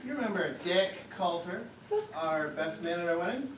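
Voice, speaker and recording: An actor on stage playing a patient, dry husband absorbed in his paper, speaking in a measured, deliberate way. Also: high-pitched, expressive, a bit bright. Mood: conversational. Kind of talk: live one-act stage play acting. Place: theater stage, picked up from a distance. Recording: echoey, distant, very noisy theater recording.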